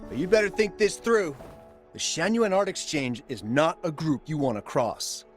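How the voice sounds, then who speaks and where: A man's voice: fairly high pitch, normal speed, soft background music, natural voice. A man voicing a brash, greedy crook in a video game, with a blustering, dismissive manner. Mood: threatening. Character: brash, greedy male crook running an art forgery racket. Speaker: game villain.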